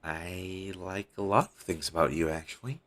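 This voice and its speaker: A man playing a devoted, apologetic talent agent in an audio roleplay, earnest and hesitant, with a husky, gravelly voice on a low-quality amateur recording. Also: moderate pace, fairly bright tone. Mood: sincere, fond. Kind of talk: scripted audio roleplay, intimate one-sided dialogue.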